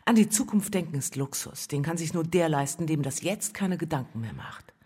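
Hardened streetwalker, radio drama, lowish voice, animated intonation, sardonic, knowing. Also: female voice, a little fast, husky. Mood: coaxing, knowing. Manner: knowing, matter-of-fact, sardonic. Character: worldly, bitter streetwalker taking in a younger girl. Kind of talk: German radio play acting.

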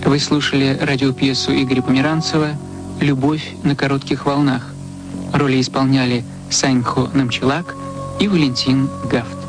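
A man announcing the closing credits of a radio play in a formal, measured way. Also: mid-range voice, flat delivery, low-quality recording. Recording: announcement over music.

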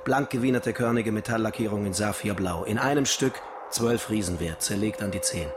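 A man's voice: fairly low pitch, moderate pace, close to an everyday voice. Terse ex-con over noisy ambience, a man acting. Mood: cool, businesslike. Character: cool, hard-boiled ex-convict car thief planning a robbery. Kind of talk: radio crime drama acting.

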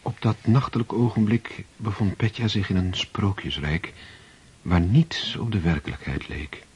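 A man with a husky, rough voice reading scripted storybook narration as narrator of a radio drama. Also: deep voice, unhurried, darkish tone. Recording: dull old radio recording.

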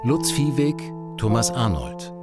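A man's voice: medium pitch, sing-song, unhurried. A man reading scripted credits as the announcer of a radio drama. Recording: loud guitar and piano music underneath.

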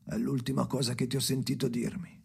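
An actor playing a tormented, bitter ageing man in a radio drama monologue, raspy and often sinking to a whisper, speaking in slow, halting fragments. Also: mid-range voice, little pitch movement, darkish tone, a little hiss or hum. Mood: anguished, bitter. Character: tormented ageing man haunted by his dead father, brooding, bitter.

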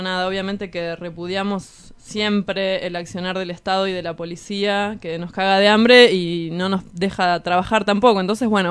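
A woman's voice: mid-range voice, smooth, no room sound. A woman with an Argentine Rioplatense accent hosting a radio show, chatting casually about politics in an informal, blunt, sardonic way.

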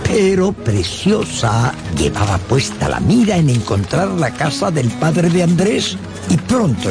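Animated male storyteller over loud music. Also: mid-range voice, creaky. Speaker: narrator. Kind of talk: dramatic scripted storytelling narration.

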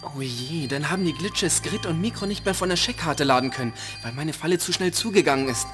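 A man's voice: a little high, expressive, a little fast, natural voice. A young male actor playing an eager computer whiz boy in a children's radio play. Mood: worried. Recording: echoey, music underneath.

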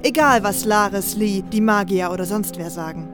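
A woman's voice: mid-range voice, moderate pace, lightly acted. A woman playing a concerned, level-headed camp dweller, speaking earnestly in a fantasy audio drama. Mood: worried, practical. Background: music.

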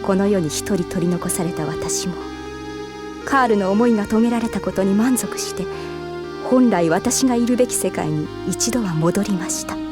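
An actress playing a devoted, otherworldly woman who narrates an audio drama in a measured, solemn, formal manner. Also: mid-range voice, lightly acted. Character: devoted otherworldly female narrator set on resurrecting a fallen swordsman. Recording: music bed behind the voice.

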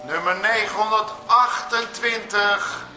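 A man's voice: high-pitched, takes it slowly, roomy sound, over music, poor audio. An actor playing an impassive male bureaucratic counter clerk in a radio drama, announcing in a formulaic way.

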